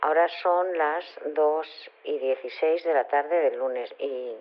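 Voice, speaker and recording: A woman's voice: sits low, measured pace. An actress playing an earnest, bookish woman scientist leaving a sincere voicemail message through a telephone filter. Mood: earnest, enthusiastic. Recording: tinny phone-line voicemail.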